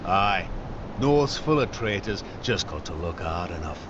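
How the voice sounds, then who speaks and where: Brutal, taunting game executioner, lively swaggering intonation, dulled and noisy sound. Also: male voice, low voice, measured pace, muffled recording. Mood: taunting menace. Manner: taunting, coarse. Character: menacing, swaggering male executioner, a brutal lord's enforcer. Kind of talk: video game cutscene acting.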